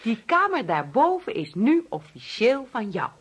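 A woman's voice: on the high side, wildly swooping pitch, unhurried, husky, full character voice. An actress playing a doting, insistently hospitable middle-aged mother in a Dutch radio play, coaxing and fussing. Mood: coaxing, excited.

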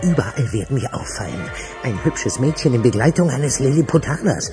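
A man's voice: medium pitch, very animated, brisk, lightly acted. An actor playing an earnest, urgent and protective small man in a radio crime drama. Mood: grave, urgent. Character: earnest, urgent male dwarf, protective of young woman. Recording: clear music behind the dialogue.

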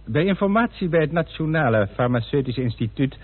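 A man's voice: on the high side, lively intonation, brisk, slightly rough. An actor playing a self-assured male forensic expert in a radio play, testifying in a precise, formal, lecturing manner. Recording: muffled old radio recording.

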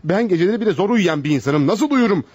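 An actor playing a flustered, indignant psychologist trapped by blackmail, stammering out protests in a radio theater style. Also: male voice, high-pitched, animated, fast, a little mellow, husky. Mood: anguished outrage. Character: panicked, outraged male psychologist caught in blackmail trap. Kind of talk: Turkish radio theater acting.

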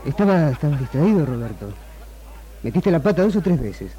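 An actor playing a bossy film director and loyal friend in a 1960s Argentine radio drama. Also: male voice, fairly high pitch, very animated, brisk, mellow, highs cut off. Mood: concerned, coaxing. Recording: 1960s radio archive, music behind.

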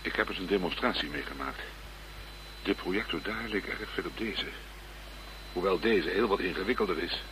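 Low-voiced gruff police detective played by a man, noisy recording. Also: moderate pace, pauses often, close to an everyday voice. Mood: pensive. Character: male Dutch police detective, brisk, gruff, easily exasperated. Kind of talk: radio play acting. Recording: noisy, humming radio play recording.

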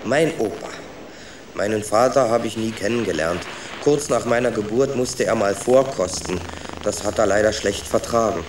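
An actor playing a young sewer rat who calmly narrates his story in a children's radio play, measured and matter-of-fact. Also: fairly low pitch, noisy background, natural voice. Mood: calm, storytelling.

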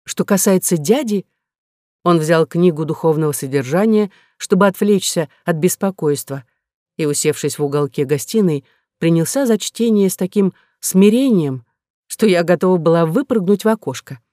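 Bright, dry-sounding woman narrator. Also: on the low side, animated, moderate pace, dry recording. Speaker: audiobook narrator. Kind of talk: scripted narration of a classic novel in a young noblewoman's first person.

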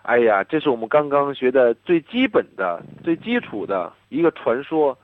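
A man of medium pitch talks haltingly and sounds puzzled, his intonation swinging in a lively way. He is heard through a thin, tinny telephone line. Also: no echo. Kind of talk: unscripted phone conversation. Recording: tinny narrow phone line, very dry.

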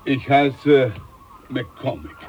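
An actor playing a boastful, condescending older ship's doctor in a radio play. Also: male voice, medium pitch, animated, extremely slow, lo-fi sound, a little hiss or hum. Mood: pompous. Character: pompous older ship's doctor, self-important.

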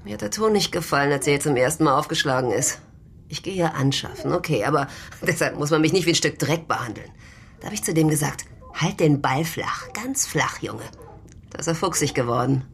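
An actress in a German radio drama playing a tough, guarded prostitute who talks curtly and bluntly. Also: female voice, deep voice, expressive, brisk, creaky. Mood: defiant, confiding. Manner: curt, wary, blunt. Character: tough, guarded red-haired brothel prostitute, streetwise and proud.

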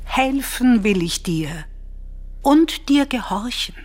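An actress playing a caring, cautious confidante in a classical radio tragedy, husky and measured, soothing in a hushed way. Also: female voice, a little high, expressive. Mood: concerned. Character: caring, cautious confidante of the princess, calming and loyal.

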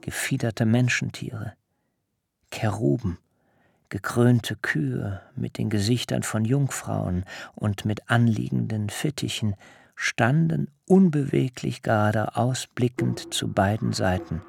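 An actor playing an awed biblical patriarch who recounts a dream vision solemnly in a literary radio drama, with measured, rapt delivery. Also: male voice, mid-range voice, vocal fry, darkish tone, slightly rough, exaggerated voice.

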